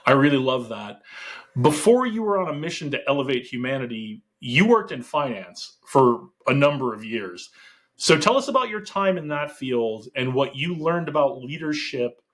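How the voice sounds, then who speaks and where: A man's voice: medium pitch, sing-song, moderate pace, fairly bright tone. A man hosting a podcast interview, introducing his guest and asking questions in an upbeat, enthusiastic way. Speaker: podcast host.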